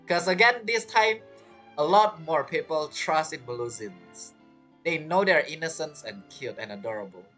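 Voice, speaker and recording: Low-voiced woman giving chatty game commentary. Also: expressive, moderate pace, a bit bright, faint music behind. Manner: chatty, musing aloud. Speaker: gaming streamer. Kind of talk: casual game playthrough commentary.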